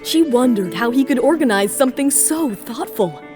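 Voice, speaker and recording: A woman's voice: sits high, lively intonation, normal speed. A woman narrating a scripted audio drama in a dramatic storytelling style, with music underneath. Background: background music.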